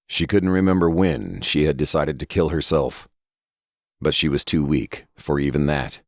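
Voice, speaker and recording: A man narrates a dark horror story in dramatic fashion. His voice is very deep and moves expressively through the text. The sound is dry and close. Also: creaky, no room sound. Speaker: audiobook narrator.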